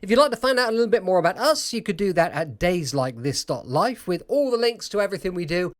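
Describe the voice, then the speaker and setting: Animated British man hosting a podcast. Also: high voice, fast talker. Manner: rambling, informal asides. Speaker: podcast host. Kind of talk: chatty scripted-feel introduction to old-time radio.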